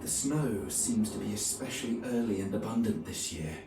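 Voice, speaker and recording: An actor playing a grave, pious medieval crusader who narrates his campaign journal in a solemn, formal, measured way. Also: lowish voice, lively intonation, echoey room, distant mic, noisy background, natural voice. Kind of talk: scripted dramatic narration. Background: music.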